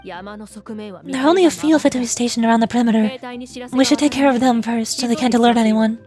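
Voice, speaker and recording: Video game scout, young woman, played by an actress: high voice, focused, businesslike, faint music. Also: a little fast, a little mellow, close to an everyday voice. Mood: focused, planning.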